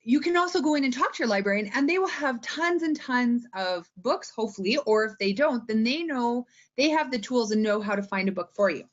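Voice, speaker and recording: Female Canadian presenter, higher-pitched, animated and informal teaching delivery, slightly dull lo-fi sound. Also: fairly quick, few pauses. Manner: animated, expressive, digressive. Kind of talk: informal instructional talk.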